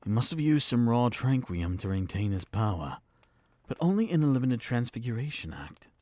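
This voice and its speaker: A man's voice: mid-range voice, very animated, normal speed, warm tone, no room sound, close to an everyday voice. A man playing a sceptical, probing questioner in a fantasy audio drama. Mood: reasoning. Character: sceptical male questioner in a magical realm.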